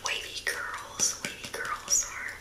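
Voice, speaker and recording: Young woman, soft whisper, gentle soothing ASMR hairstylist roleplay, roomy sound. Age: 20s. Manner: gentle, soothing, slow phrases. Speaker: ASMR performer playing a hairstylist.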